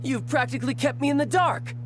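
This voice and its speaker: A man's voice: very high voice, fairly quick, faint music behind. A man playing a brash, theatrical young man in a video game, exclamatory and indignant. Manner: exclamatory, aggrieved. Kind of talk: video game cutscene acting.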